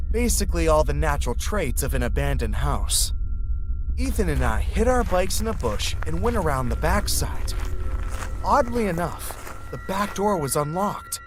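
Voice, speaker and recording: A young man narrating a scripted first-person horror story, with music behind him. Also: on the high side.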